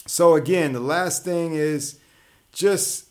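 A man's voice: a little high, slow pace, darkish tone. A man hosting his own show, talking through ideas in a casual monologue with frequent restarts and fillers. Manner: conversational, explanatory, many restarts and fillers. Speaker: show host.

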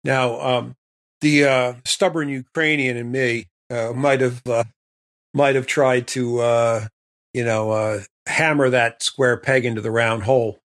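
A man's voice: mid-range voice, fairly even intonation, unhurried, fairly bright tone. A man hosting an interview, asking long, rambling questions packed with "you know" and "uh". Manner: rambling, long leading questions full of fillers. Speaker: interviewer host. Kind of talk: conversational interview.

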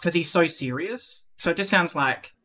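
A man's voice: on the high side, moderate pace, bright, forceful tone, a little hiss or hum. A man hosting a casual online community podcast, rambling with lots of fillers and restarts. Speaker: podcast host.

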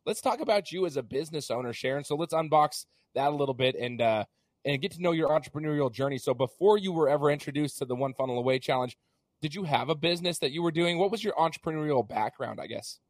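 A man hosting a podcast interview in a casual, chatty way, hoarse as he gets over an illness. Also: fairly high pitch, animated, quick pace, no echo, studio quality. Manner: chatty, many fillers and restarts. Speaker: podcast host.

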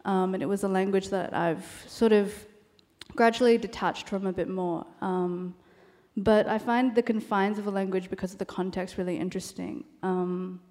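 Soft-spoken woman in a reverberant hall. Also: medium pitch, steady tone, moderate pace. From Australia. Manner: soft, thoughtful, many ums. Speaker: novelist. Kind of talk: panel discussion and reading from her novel.

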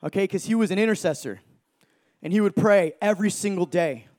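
High-voiced energetic man preaching. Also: a little fast. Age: 30s. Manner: energetic, joking, shouts for emphasis. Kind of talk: sermon.

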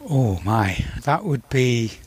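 A man in his sixties from Northern Ireland, reflecting as an interview guest in a thoughtful, halting way, searching for words. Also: medium pitch, animated, slightly rough, dry recording.

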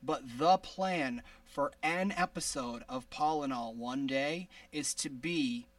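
A man with a high-pitched voice hosting a casual podcast, rambling with many restarts and laughs. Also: takes it slowly, dry recording, distant mic. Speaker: podcast host.

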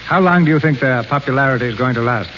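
A man's voice: mid-range voice, fast. Formal male radio host. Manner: smooth, formal announcer. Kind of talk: radio hosting. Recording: dull old radio broadcast with faint hiss.

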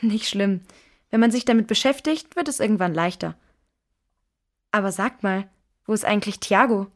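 A woman playing a kind, level-headed girl who reasons calmly and earnestly in a children's audio drama. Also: mid-range voice, normal speed, lightly acted. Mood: gentle, earnest.

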